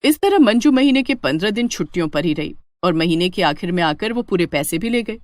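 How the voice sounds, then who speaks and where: Animated woman narrating a family story. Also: lowish voice, a little fast, no room sound.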